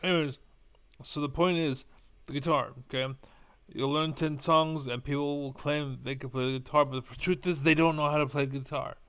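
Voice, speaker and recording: Rambling man with a smooth voice. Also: on the high side, fairly even intonation, moderate pace, a bit bright. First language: Spanish. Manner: rambling, blunt, swears casually. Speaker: vlogger. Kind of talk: rambling casual monologue.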